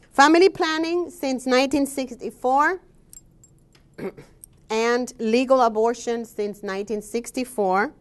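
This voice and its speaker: Woman academic presenting her research; steady, explanatory delivery, pauses on "um.". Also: medium pitch. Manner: measured and explanatory, with frequent "um" pauses. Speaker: scholar and researcher giving a presentation. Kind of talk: presentation talk with slides.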